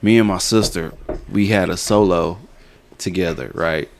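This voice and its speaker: A man's voice: moderate pace, a little hiss or hum. A man, chatting casually on a podcast and telling stories with laughs. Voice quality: deep voice. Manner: storytelling with laughs, Southern US slang. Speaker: podcast co-host.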